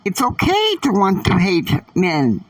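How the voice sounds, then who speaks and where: A man voicing a sassy young woman friend in a high, breezy, teasing voice. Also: wildly swooping pitch, a little slow, no echo, recorded from a distance, exaggerated voice.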